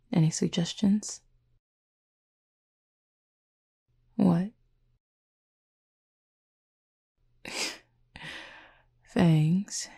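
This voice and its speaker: Woman voicing a husky, gravelly, reserved cupid roommate. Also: fairly low pitch, exaggerated voice. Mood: wryly amused. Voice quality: husky, breathy, often near whisper. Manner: formal, measured, gently wry. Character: reserved, formal, tender supernatural cupid roommate. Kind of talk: intimate audio roleplay acting to the listener.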